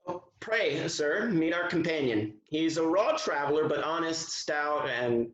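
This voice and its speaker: An actor playing an earnest, courteous English colonel in a Restoration comedy, speaking in flowery period phrases in a roomy recording. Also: male voice, a little high, lively intonation, a little slow, a bit bright, highs cut off. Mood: warm welcome. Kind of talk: Restoration comedy stage acting. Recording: echoey, roomy sound.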